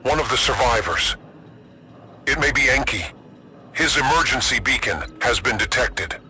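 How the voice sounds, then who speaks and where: A man's voice: medium pitch, lively intonation, normal speed, bright, forceful tone, breathy edge, pitched above the performer's own voice, full character voice. A man voicing a dutiful military subordinate, deferential and slightly anxious, reporting through a radio comm filter. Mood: hesitant. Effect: crackling radio comm filter.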